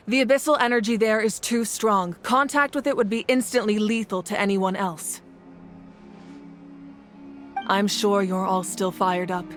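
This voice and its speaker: An actress voicing a solemn, commanding ruler in a video game, speaking in a formal, measured, stately way. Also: female voice, medium pitch, natural voice. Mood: firm. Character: commanding, solemn female war leader and ruler of a nation. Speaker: leader addressing her people. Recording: music behind the voice.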